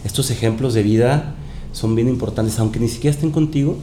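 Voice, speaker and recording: A man's voice: a little fast, mellow. A man chatting casually as a podcast guest. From Mexico and Panama. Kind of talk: casual chat. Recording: roomy echo, noticeable rumbling noise.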